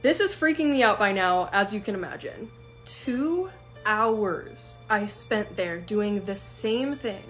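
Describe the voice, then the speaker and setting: Female storytime host, mid-pitched, reads creepy tales aloud with rising tension over faint music. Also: animated, takes it slowly. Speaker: storytime host reading viewer stories. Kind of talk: reading a first-person scary story aloud, then reacting.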